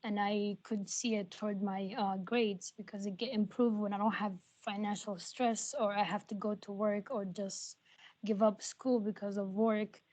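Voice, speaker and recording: A young woman whose first language is Arabic, telling her story on a video call in halting English full of pauses. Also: medium pitch, level tone. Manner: halting, many ums, soft and earnest. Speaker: nursing graduate.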